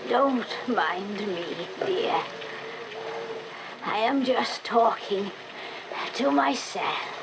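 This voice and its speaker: An actress playing a mournful, brooding old Irish wife in a radio play, with halting, drawn-out phrases and long pauses. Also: female voice, fairly high pitch, animated, gravelly, exaggerated voice. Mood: mournful, wistful. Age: older. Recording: noisy old cassette of radio broadcast.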